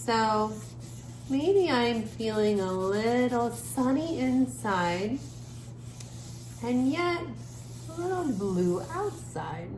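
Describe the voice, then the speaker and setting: An echoey, noisy room recording of a woman leading a creative drawing lesson for children. She speaks slowly at a middle pitch. Her manner is gentle and casual, thinking aloud with trailing pauses as she works. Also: expressive. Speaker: art teacher for children. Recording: echoey room with clear background noise.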